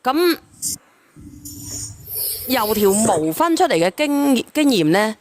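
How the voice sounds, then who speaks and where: A woman hosting a live call-in chat, questioning callers in a blunt, animated way. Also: on the high side, slow pace, slight background noise. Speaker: live-stream host of a relationship call-in show.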